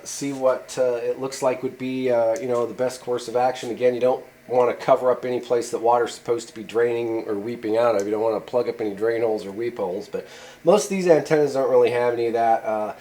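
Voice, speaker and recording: A man's voice: mid-range voice, a little mellow. A man giving a casual, rambling how-to demonstration at a workshop bench, with many "uh" and "you know" fillers. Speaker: channel host showing radio antennas.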